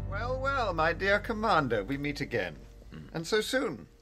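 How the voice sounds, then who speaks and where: An actor with an upper-class English accent playing a jovial, domineering rocket tycoon with a hearty, commanding manner in a radio drama. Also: male voice, on the high side, wildly swooping pitch, unhurried, over music. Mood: jovial, suave.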